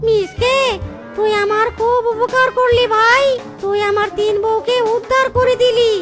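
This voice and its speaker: A woman voicing a gleeful, cackling schemer with drawn-out, exaggerated cartoon delivery. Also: very high voice, steady tone. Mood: gleeful, triumphant. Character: gleeful cartoon schemer triumphing over a foe. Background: children's cartoon music.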